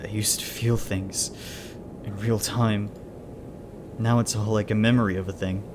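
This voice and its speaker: A man's voice: on the low side, flat delivery, measured pace, noisy background, natural voice. A man doing a numb, weary furry dad.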